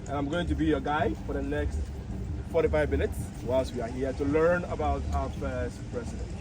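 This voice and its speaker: Ghanaian man guiding a tour outdoors. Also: medium pitch. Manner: measured, explanatory, rising emphasis on key points. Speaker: tour guide. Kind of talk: guided tour explanation. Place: outdoor memorial park by a city road. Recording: outdoor traffic noise.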